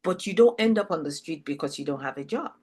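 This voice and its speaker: A woman's voice: low voice, lively intonation, fast talker, darkish tone. A middle-aged woman from Nigeria, hosting an interview chat and speaking reflectively, often restarting her sentences. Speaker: host.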